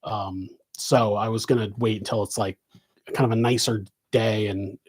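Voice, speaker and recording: A man's voice: lowish voice, fairly even intonation, normal speed, husky. A man with a West Coast American accent, hosting a live Q&A and answering in a casual, rambling way full of fillers and restarts. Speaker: podcast host answering questions.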